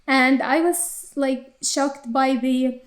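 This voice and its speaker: A young woman with an Arabic accent speaks in a high register. She answers interview questions casually and hesitantly, pausing on fillers and restarting her sentences. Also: fairly even intonation. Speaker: interviewee, company employee.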